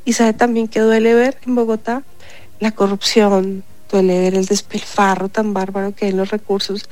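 A woman's voice: mid-range voice, flat delivery, moderate pace, darkish tone, no room sound. A woman, a city councillor, speaking emphatically in a radio interview with a congested, stuffy voice. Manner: emphatic, insistent, repeats points.

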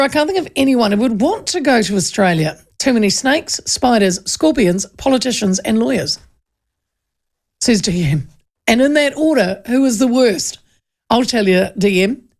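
Kiwi woman, talkback host, gravelly voice, chatty and self-mocking. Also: a little high, expressive, normal speed, a little mellow. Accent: New Zealand. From New Zealand. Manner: chatty, self-deprecating, laughs often. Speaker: talk radio host. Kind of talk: casual talkback radio chat reading texts. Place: radio studio. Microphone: studio microphone.

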